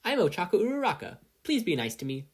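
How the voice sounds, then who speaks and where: A friendly teenage girl from an audio story, played by a reader, pitched very high above plain talk. She is chirpy, polite and bubbly, swinging up and down as she speaks. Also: big pitch swings, quick pace, husky. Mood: cheerful.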